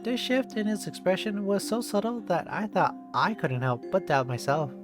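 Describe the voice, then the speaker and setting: A game streamer reading a visual novel aloud in an expressive narrating style. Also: medium pitch, moderate pace. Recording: game music behind the voice.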